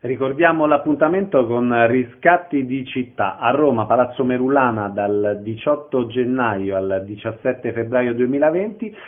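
A man's voice: medium pitch, normal speed, few pauses. A man hosting a radio interview. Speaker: radio host interviewing guests. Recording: narrow phone-like radio broadcast sound.

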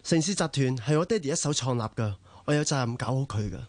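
A man's voice: medium pitch, expressive, fast talker, a little mellow, lightly acted. A male actor playing an upright, dutiful heir to a family business in a radio drama, earnest, with a Hong Kong Cantonese accent. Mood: righteous, defiant.

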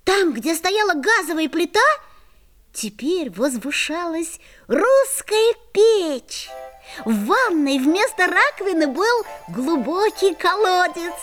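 A little storyteller with a very high, childlike voice. The narration is unhurried and expressive, each phrase laid out slowly as in a children's audio fairy tale, with soft music underneath. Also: female voice, heavily acted. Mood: storytelling.

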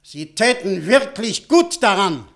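An actor playing a domineering, suspicious official in a radio play, speaking in a forceful, menacing, theatrical way. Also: male voice, high voice, sing-song, slow pace, creaky, full character voice. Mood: threatening. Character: domineering, suspicious official accusing others of blackmail. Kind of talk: German radio play acting.

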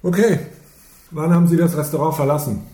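A man's voice: fairly high pitch, steady tone, fairly quick, mellow, natural voice. An actor playing a brusque, impatient male police detective who questions methodically in a radio crime drama. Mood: probing. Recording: echoey room with some noise.

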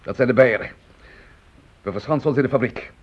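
An actor playing a brisk, resolute army lieutenant with a gravelly, husky voice, giving orders in a Dutch radio drama. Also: male voice, mid-range voice, natural voice. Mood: brisk, commanding. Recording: dull, muffled old radio recording.

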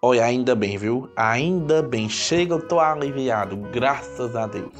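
A woman's voice: a bass voice, animated, unhurried, over music. A woman playing a cowardly, pious village man in a radio drama, exclamatory and repetitive. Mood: relieved.

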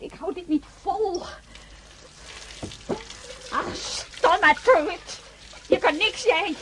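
An actress in a radio drama playing a distraught young nursing aide, despairing and scolding herself. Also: female voice, very high-pitched, fairly bright tone, slight background noise, exaggerated voice, low-quality recording. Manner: despairing, self-reproaching, breathless.

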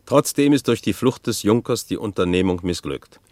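Guy playing radio drama chronicler, lowish voice, matter of fact, very dry studio sound. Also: animated, moderate pace, a little mellow, no echo, natural voice. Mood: factual. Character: sober male chronicler reporting a rebel's case. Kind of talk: scripted radio play narration.

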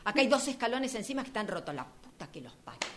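A woman's voice: fairly high pitch, sing-song, fast talker. A woman acting an impatient, furious woman in an amateur radio drama, demanding and foul-mouthed, with a Rioplatense Argentine accent. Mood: furious, exasperated.

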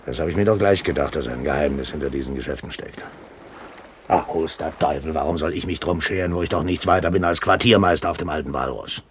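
An actor playing a sly, boisterous one-legged pirate quartermaster who curses and wheedles, in a radio play. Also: male voice, extremely low pitch, quick pace, a little hiss or hum. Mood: discontented, conspiratorial. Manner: cursing, wheedling sailor talk. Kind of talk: 1970s German radio play acting.

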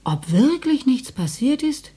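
An actress playing a meek, resigned housewife and mother in a radio play, speaking plainly and a little plaintively, slightly husky. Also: high voice, big pitch swings, measured pace, mellow, full character voice. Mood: vague, resigned. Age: 30s. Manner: plain, vague, mildly plaintive. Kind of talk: German radio play acting.

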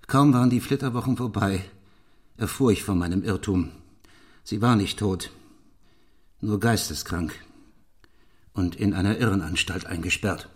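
An actor in a German radio play playing a bitter, brooding middle-aged English gentleman who confesses his past in an intense, deliberate way. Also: fairly low pitch. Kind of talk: German radio play acting, dramatic monologue.

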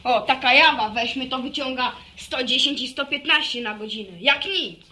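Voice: high voice, normal speed, bright, forceful tone, slight background noise. A woman playing an enthusiastic, know-it-all village boy who lectures on technical detail in a radio drama. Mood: eager, instructive. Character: eager motorbike-mad village boy, chatty know-it-all. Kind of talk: Polish radio drama acting.

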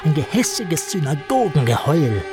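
A gossipy, insinuating radio-play storyteller. His fairly high voice swings widely as he draws out lurid phrases theatrically, with music clearly underneath. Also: big pitch swings, normal speed. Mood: lurid relish. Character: gossiping male storyteller recounting lurid hate legend with relish.

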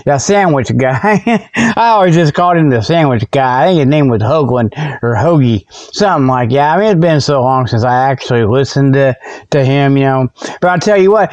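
A man's voice: mid-range voice, a little mellow, dry recording, highs cut off. A man hosting a comedy report show as a folksy, rambling monologue, often backtracking and repeating himself.

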